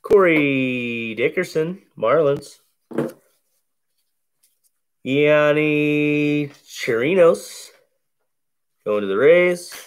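Slow, bassy man opening baseball cards. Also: expressive, clear, resonant tone. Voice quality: deep, bassy voice. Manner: slow, laid-back play-by-play of each card. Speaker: card break host. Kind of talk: casual card-opening commentary. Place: indoors at a table covered in cards.

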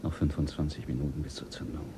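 An actor playing a skeptical, quietly ruthless male astronaut in a radio play, speaking low and measured. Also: fairly even intonation, noisy background. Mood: calm.

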